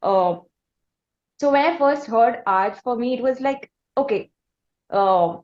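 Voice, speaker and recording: High-voiced Indian woman interviewer, echoey and dull. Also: lively intonation, darkish tone. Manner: chatty, halting, circles back to rephrase. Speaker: podcast host and interviewer. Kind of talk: casual conversational interview. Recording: echoey room, dull lo-fi sound.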